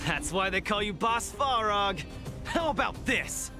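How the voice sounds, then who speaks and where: Animated, cocky young male fighter. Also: high voice, measured pace. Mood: fired up. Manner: eager, brash, casual. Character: cocky, upbeat young male underground boxer. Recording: loud game music behind the voice.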